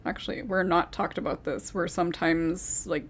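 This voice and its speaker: A young woman hosting a casual panel chat, chatty and quick to laugh, sometimes losing her train of thought. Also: lowish voice, fairly even intonation, slight background noise. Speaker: panel host.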